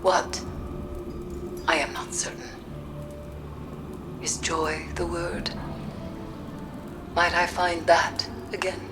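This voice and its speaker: An actress playing a solemn, haunted mystic in a video game, speaking in a grave, formal and measured way. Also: female voice, lowish voice, level tone, music in the background. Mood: grave, reflective. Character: solemn, haunted mystic woman, weary and cryptic. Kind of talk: video game cutscene monologue. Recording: clear background ambient noise.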